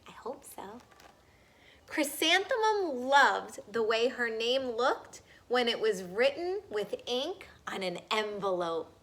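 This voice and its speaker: A woman reads a children's story aloud in a high, very expressive voice. She takes her time with the sentences and turns to her young listeners with simple questions. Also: slow pace. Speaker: storyteller reading a picture book aloud to children.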